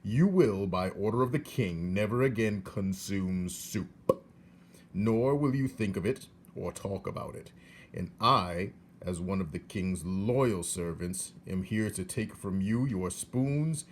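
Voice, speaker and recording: Man acting a king's soldier, storybook, low male voice, stern, emphatic, officious, noisy recording. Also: slow. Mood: official. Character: stern, dutiful king's soldier delivering royal orders. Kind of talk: storybook character reading.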